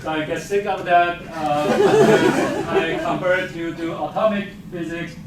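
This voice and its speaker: A man's voice: sits high, expressive, slow. Echoey Taiwan-born man, English. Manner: non-native grammar, self-deprecating, chuckles often. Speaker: geophysics professor. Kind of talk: casual faculty talk. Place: lecture hall. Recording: very echoey hall, far-off mic, noisy.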